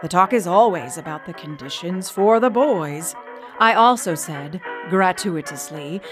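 A woman playing an indignant, outspoken college trustee of the early twentieth century in a dramatic letter reading, articulate and tart. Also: on the high side. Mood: defiant, satisfied. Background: music.

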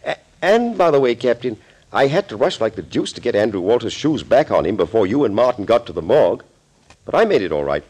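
An actor playing a suave, gloating villain doctor in an old radio thriller, urbane, theatrical and mockingly courteous. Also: male voice, mid-range voice, very animated, brisk, darkish tone, husky. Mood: amused, gloating. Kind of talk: 1940s radio acting. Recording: old scratchy 1940s radio recording.

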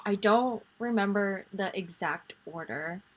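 A woman in her twenties hosting a podcast, chatting casually about faith in a soft, rambling way full of fillers. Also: medium pitch, a little slow. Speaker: podcast host.

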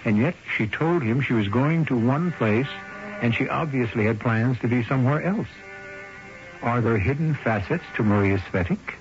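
A man hosting a radio mystery, narrating in a measured, literary, rhetorical style. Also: mid-range voice, lively intonation. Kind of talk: dramatic scripted narration, old-time radio. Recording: muffled old radio broadcast with music.

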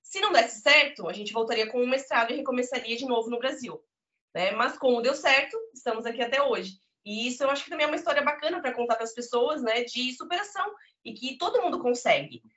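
A woman's voice: high-pitched, lively intonation, fast talker, fairly bright tone. Earnest Brazilian woman, muffled audio. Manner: earnest, emphatic storytelling with frequent "né?". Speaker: podcast guest. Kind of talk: conversational interview.

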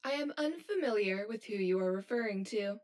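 A woman's voice: mid-range voice, expressive, fairly quick, darkish tone, lightly acted. A woman acting as an anxious, hollow female robot in an audio drama, speaking in formal, stilted, literal phrases. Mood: matter-of-fact.

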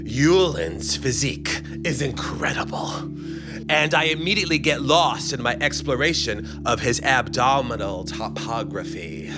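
A man reading a comic story in the first person, with dramatic, expressive narration that savors each phrase. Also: medium pitch, measured pace. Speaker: guest story reader. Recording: music bed under the voice. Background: music.

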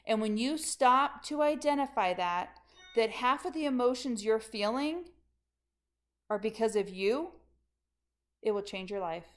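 A woman's voice: fairly high pitch, expressive, a little slow, long pauses. A middle-aged woman coach telling her own story in a candid, emphatic podcast monologue, speaking straight to her listeners as friends.

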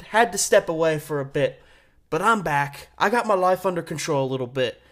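A man in his twenties with a slightly high voice. He hosts a sports talk show alone on campus radio, speaking informally and with emphasis, dropping in casual slang. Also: fairly bright tone. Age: 20s.

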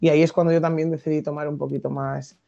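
A young woman from Madrid with a Madrid accent, a podcast guest explaining her ideas thoughtfully, with many pauses and restarts. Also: low voice, level tone, gravelly, warm tone, muffled recording. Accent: Madrid Spanish. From Madrid, Spain. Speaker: guest activist. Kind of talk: podcast interview.

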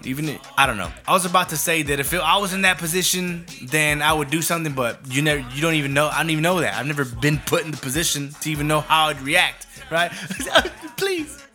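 A man's voice: fairly high pitch, sharp tone. A man hosting a show, giving a blunt, profane, comedic rant in casual talk.

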